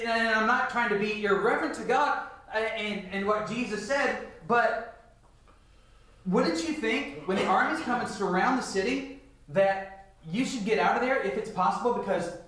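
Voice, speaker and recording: A man's voice: high-pitched, moderate pace, a bit bright. A middle-aged man giving a Bible lecture to a congregation, posing rhetorical questions and answering them himself. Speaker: church lecturer. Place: large church meeting room, indoors. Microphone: picked up from a distance. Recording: echoey hall, distant microphone.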